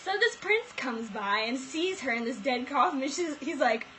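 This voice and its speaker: A young woman with a high voice delivers casual, comic storytelling. Her manner is excitable and giggly, leaning into theatrical mock outrage. The amateur recording carries audible noise. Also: normal speed, poor audio. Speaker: vlog co-host. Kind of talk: casual comic retelling of fairy tales.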